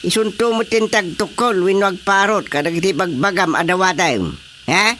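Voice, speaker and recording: A man's voice: high voice, moderate pace, loud music behind the voice, close to an everyday voice. An actor playing a blustery, scolding village chairman in a comedy radio drama, emphatic, with an Ilocano Filipino accent. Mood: exasperated, scolding.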